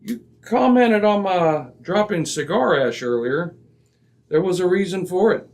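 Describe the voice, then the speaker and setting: A man playing a commanding, impatient detective in an old-time radio drama, crisp and didactic. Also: high voice, expressive, a little slow, darkish tone. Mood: assured, deductive. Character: commanding, razor-sharp, impatient male detective.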